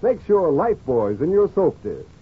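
Male radio ad announcer, highish and very raspy, animated, tinny vintage broadcast. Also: moderate pace. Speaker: commercial announcer. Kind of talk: 1940s radio advertisement read.

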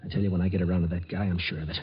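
Nervous young American male, hesitant and earnest, lowish pitch, dated radio drama acted by a man, muffled sound. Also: level tone, racing, lightly acted. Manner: hesitant, jittery. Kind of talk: 1950s radio drama acting. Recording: muffled, hissy old radio recording.

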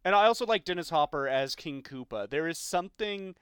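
A man's voice: on the high side, expressive, a little fast, a bit bright, smooth, no echo, studio quality. A man co-hosting a podcast, chatting casually and informally about movies. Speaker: podcast co-host.

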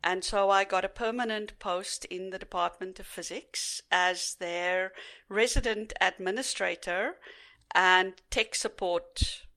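A middle-aged woman with a South African accent talks at a leisurely pace, her first language Afrikaans. Her voice is bright and forward, and she often pauses on "uh" as she recalls things, in a relaxed interview setting. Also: medium pitch. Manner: unhurried, with frequent fillers. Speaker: guest. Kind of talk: casual interview.

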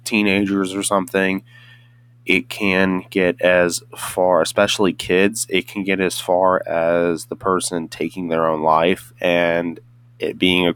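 A young man with a very low voice talks through a solo podcast episode. He sounds hoarse and congested, as if he has just woken up. He rambles, restarting sentences and filling gaps with ums and uhs. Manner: rambling, with many restarts and hesitant fillers. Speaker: podcast host. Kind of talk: casual solo podcast monologue.

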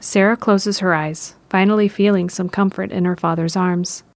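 A woman's voice: medium pitch, steady tone, normal speed, vocal fry, dry recording. A woman narrator reading scripted present-tense narration for an audio drama.

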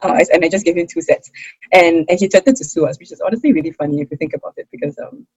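A young woman from Singapore with a Singaporean English accent, chatting expressively as an interview guest, with frequent fillers and quick laughs. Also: lowish voice, a little mellow, slightly rough, no echo, low-quality recording. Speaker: interview guest, hawker's daughter. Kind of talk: casual interview conversation.

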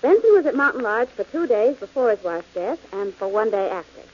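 An actress playing a skeptical, dry-witted companion in an old radio drama. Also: female voice, fairly high pitch, big pitch swings, brisk. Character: skeptical wry woman, detective's companion. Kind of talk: 1940s radio acting. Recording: dull old radio broadcast with hiss.

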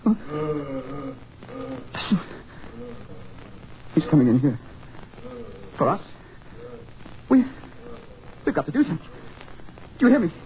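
An actor playing a cowardly diplomat losing his poise, halting and repeating himself, in a 1940s radio horror drama. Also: a little high, big pitch swings. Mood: hysterical panic. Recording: noisy, muffled 1940s radio recording.

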